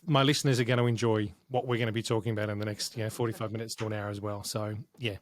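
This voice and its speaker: A man's voice: lowish voice, flat delivery, racing, dry recording, clean studio sound. A man hosting a podcast interview, talking enthusiastically in long rambling turns. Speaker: podcast host.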